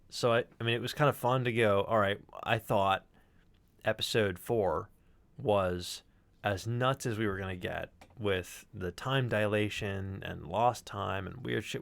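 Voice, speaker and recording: A man talking as a sound designer, explaining his work casually in an animated, discursive way. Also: fairly low pitch.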